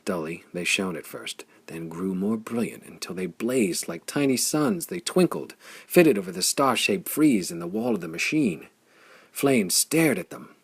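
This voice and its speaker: A man narrating a pulp adventure story for an audiobook, with a measured reading that grows excited with the action. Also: on the low side, vocal fry, breathy edge.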